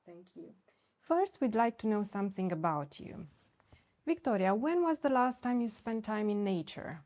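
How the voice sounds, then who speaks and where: A woman acting as a speaking exam examiner, reading set questions in a measured, formal way. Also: medium pitch, big pitch swings, darkish tone.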